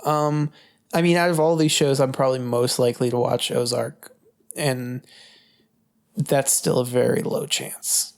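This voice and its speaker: A woman's voice: extremely low pitch, fairly even intonation, unhurried, vocal fry, darkish tone. A woman co-hosting a casual podcast, bantering in a joking, mock-indignant, foul-mouthed way. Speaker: podcast co-host.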